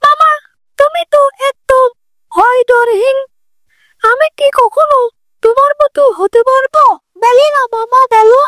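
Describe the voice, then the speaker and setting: A tiny, extremely high child's voice for a moral-story cartoon. The youngster is earnest and timid, trying hard to be brave. The recording is dry and close. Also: female voice, measured pace, pauses often, a bit bright, no echo. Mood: curious.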